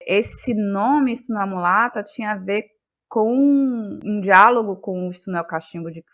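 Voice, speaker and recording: A woman talking as an interview guest, explaining her work in a thoughtful, expressive conversational way. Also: mid-range voice, moderate pace. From Brazil, Bahia. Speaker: guest, playwright and actress.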